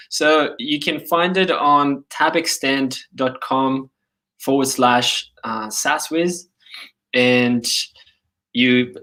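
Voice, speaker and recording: A man's voice: medium pitch, bright, forceful tone, low-quality recording. Casual livestream host, a man in his thirties with a Chilean accent gone part Australian, loose and full of restarts. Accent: Chilean Spanish, partly Australian. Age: 30s. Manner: rambling, many fillers and restarts.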